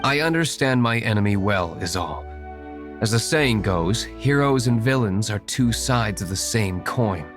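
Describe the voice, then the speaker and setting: An actor playing a cool, guarded young aristocratic vigilante in a video game, measured and matter-of-fact. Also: male voice, lowish voice, animated, over music. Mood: composed.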